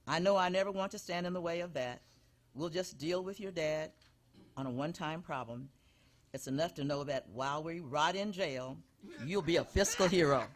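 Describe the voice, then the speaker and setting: A man's voice: on the high side, normal speed, natural voice. A man playing an anxious, folksy elderly mother who guilt-trips over the telephone in a live comedic radio show. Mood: martyred. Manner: folksy, martyred, guilt-tripping. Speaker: stage performer in a benefit show.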